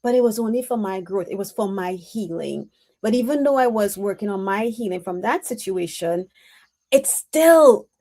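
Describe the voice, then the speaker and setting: A woman giving an intuitive spiritual reading on a live stream, answering her chat in a reflective, halting way with many pauses and "um"s. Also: fairly high pitch, darkish tone. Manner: slow, reflective, halting with frequent "um"s.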